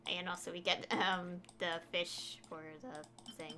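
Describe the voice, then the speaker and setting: A woman's voice: lowish voice, measured pace, heavy background noise. A woman giving casual, rambling livestream gameplay commentary, thinking aloud as she plays. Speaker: game streamer. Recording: game music behind the voice. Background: video game music.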